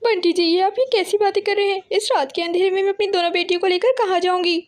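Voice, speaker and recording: A woman's voice: very high-pitched, fairly even intonation, fast, pitched above the performer's own voice. A woman voicing a distressed mother sparrow who pleads, in a cartoon. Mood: pleading.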